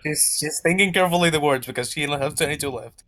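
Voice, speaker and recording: A man speaking as game master of a tabletop roleplaying game, with halting, deliberate phrasing. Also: on the high side, bright, forceful tone. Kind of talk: casual game narration and chat.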